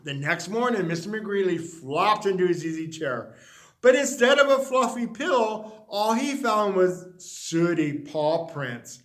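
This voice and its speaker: A man reading a children's picture book aloud, expressive and dropping to a hush on the refrains. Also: sits high, measured pace. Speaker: storyteller.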